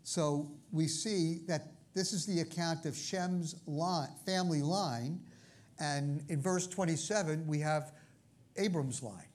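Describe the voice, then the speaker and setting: A male preacher with a Brooklyn accent, speaking in a slightly higher register than most men. He teaches through scripture in a loose, conversational way. He often stops to check in with his listeners. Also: takes it slowly, darkish tone. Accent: New York (Brooklyn). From Brooklyn, New York. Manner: conversational, frequent asides and check-ins. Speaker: pastor. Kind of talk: sermon teaching.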